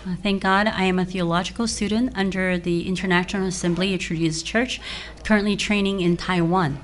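Woman interpreter, steady plain delivery, church service, faint room hum behind. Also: lowish voice, a little fast. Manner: plain, steady rendering with fillers. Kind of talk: consecutive interpreting of a testimony.

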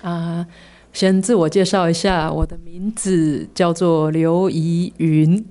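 A young woman from Taiwan, a theology student, giving a church testimony in measured phrases, pausing for her interpreter. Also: on the low side, little pitch movement, mellow.

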